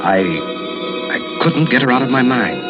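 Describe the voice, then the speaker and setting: An actor playing an anxious lawyer who narrates a murder mystery gravely and dramatically in an old-time radio suspense show. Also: male voice, medium pitch, big pitch swings, a little slow. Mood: urgent, worried. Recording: muffled vintage radio recording, loud music.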